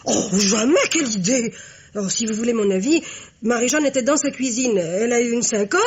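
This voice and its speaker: An actress playing a sociable, bubbly young confectioner in a French radio mystery, chatty and breezily untroubled. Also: female voice, fairly high pitch, fairly quick, darkish tone, breathy edge. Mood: breezy, reassuring.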